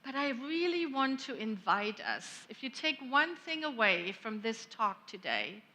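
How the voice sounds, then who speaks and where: Slow bright-voiced woman minister. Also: fairly high pitch, big pitch swings. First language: German. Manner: gentle, reflective, unhurried with long pauses. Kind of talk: sermon. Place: church sanctuary.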